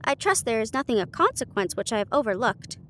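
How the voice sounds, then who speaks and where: Lady reading as an eager earnest gentleman sidekick, storybook style, high and animated, bit of noise. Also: quick pace. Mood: self-important. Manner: earnest, formal.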